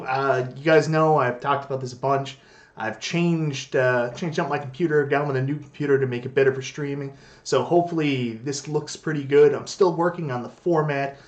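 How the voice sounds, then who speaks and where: Chatty Canadian man streaming late. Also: medium pitch. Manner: chatty, greets viewers by name, self-deprecating. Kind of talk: casual live stream chat.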